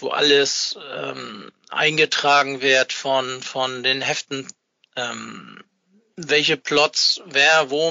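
A middle-aged man chatting casually as an interview guest, haltingly searching for words and rambling a little. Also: medium pitch, vocal fry, sharp tone, no room sound. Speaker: podcast guest, fan club founder. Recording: dull, dry, band-limited remote connection.

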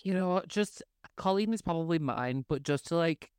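A sassy, campy man co-hosting a podcast, whose first language is Spanish, throwing shade with glee. His voice is smooth and clear at a middle pitch. The recording is dry and close. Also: animated, moderate pace, no echo. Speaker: podcast co-host. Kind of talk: casual chat.

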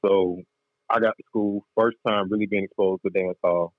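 A man's voice: deep voice, fairly even intonation, normal speed, no room sound, narrow, tinny audio. A man guest on a chat show, telling his story candidly in laid-back slang. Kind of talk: casual interview chat.

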